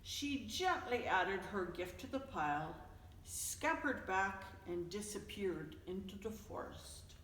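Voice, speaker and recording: Echoey, slow-paced woman reading a children's story. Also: mid-range voice, slight background noise. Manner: expressive, deliberate storytelling for young children. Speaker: storyteller, host of a story time. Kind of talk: children's picture book read-aloud. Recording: echoey, reverberant room, distant mic.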